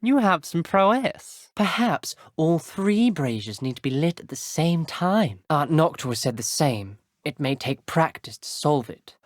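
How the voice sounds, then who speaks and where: An actor voicing an earnest, troubled teenage boy wizard in a video game, wary of dark magic, speaking in a measured, grave and articulate way. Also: a little high, no echo, studio quality. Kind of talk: video game character dialogue.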